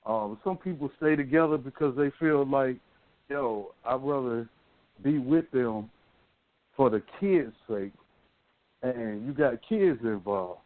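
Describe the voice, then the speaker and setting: An American man co-hosting a radio talk show, speaking casually and candidly in colloquial, halting phrases. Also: medium pitch, no echo. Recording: narrow phone-like line, dry.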